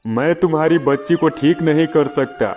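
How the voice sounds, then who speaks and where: Soft music sits behind a somewhat high male voice, put on by a man. It is a stern old holy man from a cartoon fable. He speaks gravely, admonishing like a village mystic. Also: fairly even intonation, moderate pace, lightly acted. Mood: stern, reproachful. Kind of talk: acted children's moral story.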